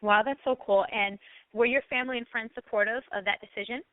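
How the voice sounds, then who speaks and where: Woman, show host, fairly high voice, casual affirming delivery, thin telephone-quality recording. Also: fairly even intonation, a little fast, dry recording. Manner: encouraging, affirming, frequent murmured agreement. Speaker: talk show host, interviewer. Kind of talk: casual conversational interview. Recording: band-limited phone-like radio sound.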